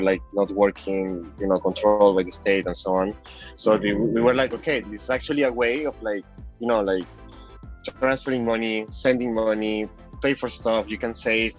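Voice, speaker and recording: A man from Venezuela with a Venezuelan Spanish accent, chatting casually and rambling as an interview guest, peppering his talk with filler phrases. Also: lowish voice. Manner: rambling, heavy use of "like" and "you know". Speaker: interview guest, crypto app designer. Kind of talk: casual chat. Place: online call. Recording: dull lo-fi call audio, music behind.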